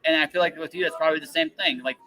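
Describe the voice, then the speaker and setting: A man's voice: on the high side, rapid-fire, pushed and bright, rough recording. A man hosting a casual, enthusiastic interview, rambling and repeating phrases for emphasis. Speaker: podcast host interviewing a guest.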